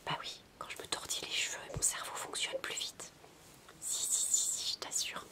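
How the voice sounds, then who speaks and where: A woman playing a zany, playful babysitter who coaxes a young child in a soft-spoken, whispery, silly ASMR roleplay. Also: moderate pace, close to an everyday voice. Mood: playful coaxing. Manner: whispery, coaxing, silly, chatty. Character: zany playful babysitter entertaining a six-year-old child.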